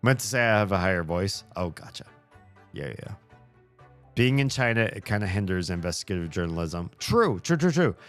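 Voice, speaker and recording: Male streamer, deep and unhurried, hunts for words mid-sentence over quiet music. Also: big pitch swings. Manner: rambling, searches for words, swears casually. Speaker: streamer answering chat. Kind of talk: casual live-stream chat.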